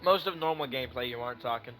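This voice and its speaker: A man of average pitch streams a game with casual, rambling commentary. He talks loosely and swears freely. Faint music plays behind his voice. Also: racing, a bit bright.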